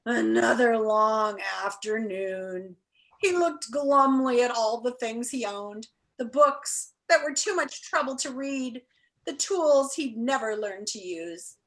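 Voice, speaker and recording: Expressive, bright-voiced woman reading aloud. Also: on the high side, low-quality recording. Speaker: read-aloud storyteller. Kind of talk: expressive read-aloud of a children's book with casual asides. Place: indoor room.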